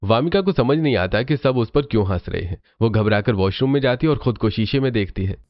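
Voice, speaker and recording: A man's voice: medium pitch, expressive, a little fast, no room sound, lo-fi sound. A man narrating a scripted cartoon family story as the storyteller.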